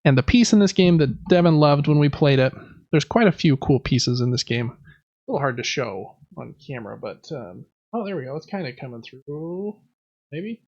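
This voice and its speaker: A man's voice: on the high side, sing-song, moderate pace, a little mellow, no room sound, highs cut off. A man hosting a casual board game podcast, chatting and explaining things in a conversational way. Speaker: podcast host.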